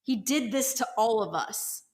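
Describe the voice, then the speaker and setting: Woman acting a bitter, vengeful rebel woman, higher voice. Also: lively intonation, measured pace. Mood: distraught, bitter. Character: vengeful, distraught cantina rebel woman who lost everything.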